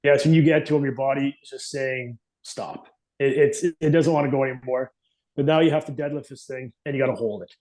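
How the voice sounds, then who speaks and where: A man with a medium-pitched voice talks casually as a podcast guest. He recounts his own athletic experience in short, plain-spoken phrases that land with emphasis. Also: fairly quick, a little mellow, poor audio. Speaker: guest, competitive firefighter athlete. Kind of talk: casual first-person account in conversation.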